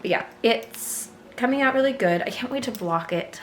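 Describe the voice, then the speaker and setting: A woman vlogger chatting casually about her knitting, her voice still a little hoarse as it recovers, rambling with fillers and light laughs. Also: a little high, expressive, moderate pace, echoey room, slight background noise. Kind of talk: casual chat to camera.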